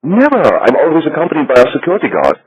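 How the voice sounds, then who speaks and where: A man's voice: a little high, wildly swooping pitch, quick pace, slightly rough, no room sound, pitched above the performer's own voice, heavily acted, rough recording. A man voicing a prim, nervous middle-aged Swiss banker who speaks in a fussy, precise, defensive way.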